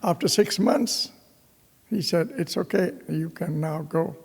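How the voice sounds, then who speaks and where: A man from Pakistan, a priest, telling his own story in a homily, slow and halting with many fillers and restarts. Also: fairly high pitch, gravelly, breathy edge, big-room sound. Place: parish church.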